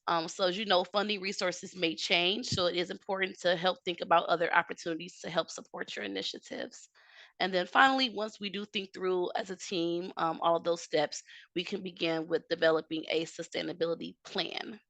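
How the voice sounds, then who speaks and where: A woman giving a webinar talk over a video call, a little hoarse from a cold. Her voice is bright and slightly lower-pitched, and the recording is close and dry. She explains at a steady pace, with many "um" fillers. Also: fairly quick, no room sound. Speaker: technical assistance presenter. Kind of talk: webinar presentation.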